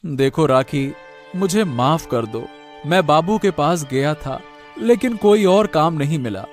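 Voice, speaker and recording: A man playing a helpless, henpecked village husband who pleads and complains in a Hindi animated moral story. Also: fairly high pitch, unhurried, soft background music. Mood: apologetic.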